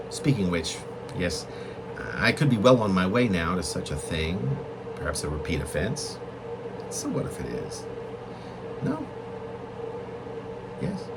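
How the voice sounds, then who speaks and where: Guy w deep voice reading his poem, halting n deliberate but very up and down, noisy hum behind him. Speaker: poet reading own work. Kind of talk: literary poetry reading, reflective monologue.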